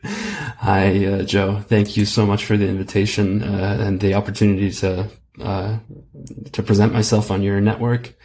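Hesitant low-voiced man on a call. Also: normal speed, a little mellow, a little hiss or hum. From Germany. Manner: hesitant, many fillers. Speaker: guest. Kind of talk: casual interview.

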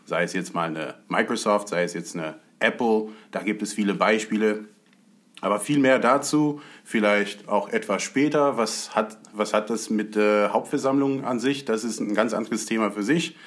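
A man who grew up in the United States, talking through stocks in a measured, explanatory way in a casual conversation. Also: lowish voice, a bit bright.

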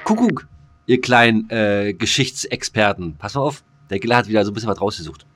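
Rambling, joking man with a low voice. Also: big pitch swings, fairly quick, a bit bright, soft background music. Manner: rambling, hesitant with many fillers, joking. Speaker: podcast co-host. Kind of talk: casual podcast chat.

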